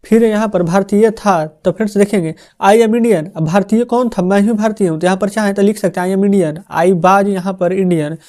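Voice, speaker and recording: A man's voice: high voice, normal speed, darkish tone. A male teacher dictating a translation lesson word by word, often checking in with his viewers. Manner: dictates the answers word by word, addresses viewers as friends.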